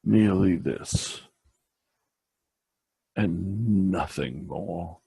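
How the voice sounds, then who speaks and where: Slow, gravelly man reciting poetry. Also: deep voice, dark tone, no echo. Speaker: reader.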